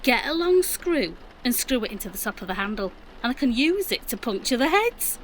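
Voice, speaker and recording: A woman's voice: a little high, sing-song, a little fast, a little hiss or hum, low-quality recording. A woman playing a plucky, wry, middle-aged British mum in an audio drama, with a colloquial, dry-humoured delivery. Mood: wry, practical. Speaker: actor.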